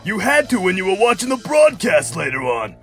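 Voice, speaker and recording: A man's voice: high-pitched, sing-song, brisk, a bit bright, faint music behind, heavily acted. A man voicing a brash, sarcastic teenage mutant turtle fighter in a cartoon, goading and wisecracking. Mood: needling. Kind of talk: cartoon action acting.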